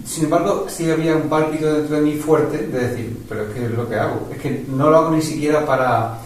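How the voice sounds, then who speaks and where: A man's voice: on the high side, brisk. A man interviewing a guest, chatting casually and affirming with enthusiasm. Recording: distant mic with heavy echo.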